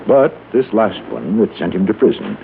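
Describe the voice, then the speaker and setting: An actor playing a prim, pedantic psychologist who pleads in pompous, wordy, precise phrases, in old-time radio style. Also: male voice, lowish voice, sing-song, normal speed, gravelly. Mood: earnest, lecturing. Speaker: radio drama character. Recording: narrow-band vintage radio, faint noise.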